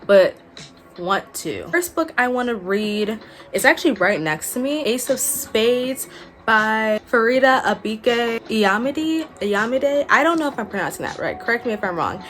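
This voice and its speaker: A woman's voice: fairly high pitch, expressive, a little slow, a bit bright, faint music behind. A young adult woman chatting informally and a bit ramblingly to viewers, as a book vlogger recording in a small furnished room. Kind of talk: casual chat to viewers.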